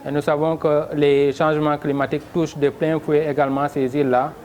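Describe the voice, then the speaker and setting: Even-toned Senegalese man, formal interview. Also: on the high side. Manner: measured, formal, halting phrasing. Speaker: local elected official interviewed. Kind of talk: formal on-camera interview statement. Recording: clear background noise, on-location ambience.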